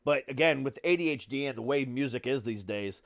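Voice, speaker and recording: A man hosts a podcast in a loose, conversational interview style. His voice sits at a medium pitch and he talks informally, with easy swearing. The sound is dry, with very little room in it. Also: a little fast, dry recording. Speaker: podcast host. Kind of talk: casual interview chat. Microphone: video call.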